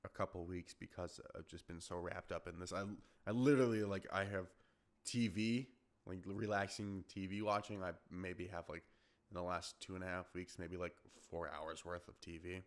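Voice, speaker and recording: Deep-voiced man rambling on a podcast. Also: fairly even intonation, moderate pace. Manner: rambling, many fillers and restarts. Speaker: podcast host. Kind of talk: casual solo podcast chat.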